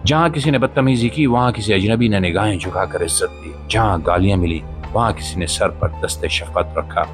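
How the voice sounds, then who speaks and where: A man narrates a scripted spoken-word monologue in a solemn, emphatic, rhetorical manner, with short pauses between phrases. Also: on the low side. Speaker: podcast host and voiceover narrator. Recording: voice-over with music behind.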